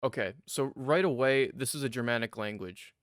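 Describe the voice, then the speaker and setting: A man's voice: medium pitch, moderate pace. American man hosting a reaction video. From United States. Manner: chatty, analytical. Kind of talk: casual commentary on video clips.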